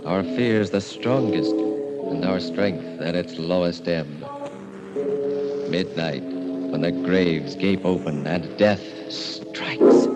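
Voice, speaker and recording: Male vintage radio announcer, lowish voice, ominous and theatrical, loud music underneath. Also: takes it slowly. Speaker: announcer and host. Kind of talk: 1940s radio mystery announcing.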